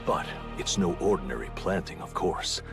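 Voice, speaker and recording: Male strategist, anime dub. Deep, expressive, analytical, explanatory, music underneath. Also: gravelly. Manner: measured, explanatory. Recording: music behind.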